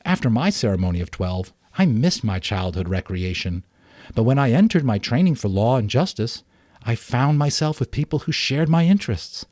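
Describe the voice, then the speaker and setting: A man's voice: mid-range voice, big pitch swings, normal speed, no echo, clean studio sound, lightly acted. A man voicing a caring, earnest mother in a storybook, explaining things patiently. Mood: thoughtful. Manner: patient, explanatory, gently advising.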